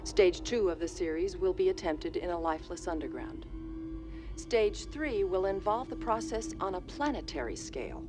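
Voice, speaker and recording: A woman playing a composed scientist giving a formal, precise scripted briefing in a measured voice. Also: lowish voice, lightly acted. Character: composed female scientist presenting an experiment.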